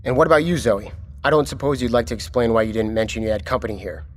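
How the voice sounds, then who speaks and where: A man's voice: medium pitch, quick pace, lightly acted. A man acting in an audio drama as a stern, authoritarian government chief, commanding, clipped and condescending. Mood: stern, impatient. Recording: reverberant hall with background noise.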